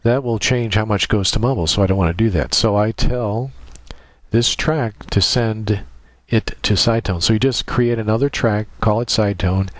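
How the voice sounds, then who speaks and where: A man narrating an informal step-by-step software tutorial, methodically explaining and thinking aloud as he demonstrates. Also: on the low side, normal speed, dry recording.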